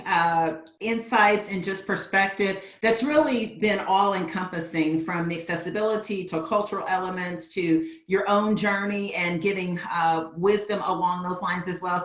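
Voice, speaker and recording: A woman's voice: medium pitch, a bit bright, echoey room. A woman interview host thanking her guest and closing the show in an appreciative way, with some fillers.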